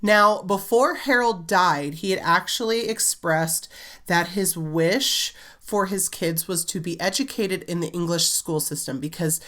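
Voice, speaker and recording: A woman's voice: on the low side, fairly bright tone. Chatty, giggly woman with slight congestion. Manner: chatty, giggly, many "like" fillers. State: slightly congested, recovering from a cold. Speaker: children's book author and channel host. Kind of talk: casual storytelling vlog.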